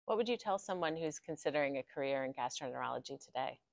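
A woman's voice: very deep voice, lively intonation, fast talker, creaky, no echo. A woman off camera asking brief, plain interview questions.

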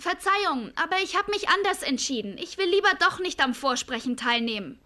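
A woman voicing a modest, polite, studious teenage schoolgirl in an animated series dub. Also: very high-pitched, brisk, full character voice. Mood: apologetic.

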